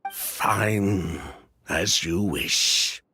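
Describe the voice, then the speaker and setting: A man's voice: low-pitched, bright, forceful tone, slight background noise, exaggerated voice. An actor playing a slick, condescending male merchant in a video game, with florid, oily politeness and a theatrical way of talking. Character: slick adult male merchant, ex-convict schemer, smoothly condescending. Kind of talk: scripted video game voice acting.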